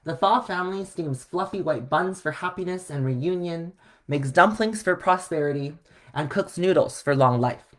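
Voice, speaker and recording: A man reading a children's story aloud in an expressive way. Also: fairly high pitch, normal speed. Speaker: storybook reader.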